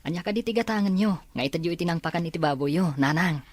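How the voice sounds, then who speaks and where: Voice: medium pitch, quick pace, no room sound, natural voice. An actor playing a dutiful grown child of a rural mother, with a Filipino accent, in an Ilocano audio drama.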